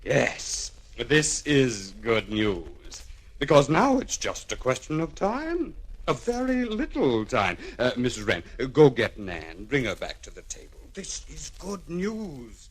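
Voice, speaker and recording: An actor playing a smooth, hesitant crooked lawyer in a 1940s radio crime serial, with drawn-out politeness. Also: male voice, mid-range voice, big pitch swings, heavily acted. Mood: pleased, triumphant. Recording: old 1940s radio recording.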